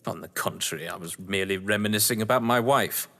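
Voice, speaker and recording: An actor playing a suave, sardonic upper-class English novelist, wordy and condescending, in a theatrical audio drama. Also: male voice, on the low side, fast talker, pushed and bright. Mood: dry disdain. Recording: echoey hall-like reverb.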